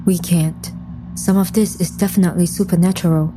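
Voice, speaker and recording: Woman acting a low, composed undead heroine. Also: steady tone, fairly quick, noisy background, close to an everyday voice. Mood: grave, composed. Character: composed undead woman, serious mission leader. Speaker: lead character and first-person narrator. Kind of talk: audio drama acting and scripted narration. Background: music.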